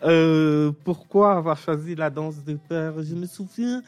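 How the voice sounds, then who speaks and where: A man in his late thirties from Cameroon, a novelist answering interview questions in a relaxed, hesitant way with many fillers and restarts. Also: a little high, unhurried, clean tone. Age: late 30s. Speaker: author guest.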